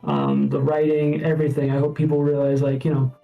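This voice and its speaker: A man's voice: fairly high pitch, completely flat delivery, brisk, gravelly, phone-quality sound, soft background music. A man, a game composer, chatting casually and modestly in a developer Q&A. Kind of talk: casual Q&A chat.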